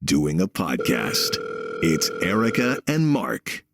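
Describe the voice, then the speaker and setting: A man with a low, gravelly voice introduces a podcast. His delivery is drawn out and swings up and down, with clear noise behind it. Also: wildly swooping pitch, takes it slowly.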